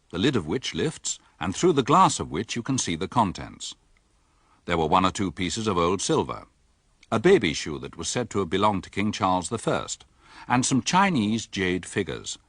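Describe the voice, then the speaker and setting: An actor playing a mild village doctor in a radio drama, speaking and narrating in a measured, matter-of-fact way. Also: male voice, on the low side, big pitch swings, fairly bright tone, no echo, clean studio sound. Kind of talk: radio drama dialogue and first-person narration.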